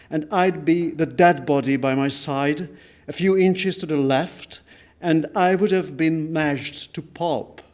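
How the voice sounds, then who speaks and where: A man giving a dramatic literary reading of a first-person account, solemn and deliberate, with weighty pauses. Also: on the high side, darkish tone. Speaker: reader at a book launch.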